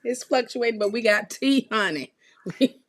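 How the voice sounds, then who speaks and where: A hoarse woman with a raspy voice, chatting casually and laughing on a live stream, calling people honey. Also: a little high, normal speed. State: hoarse, recovering from illness. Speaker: tarot reader co-host. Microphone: desk mic for live stream.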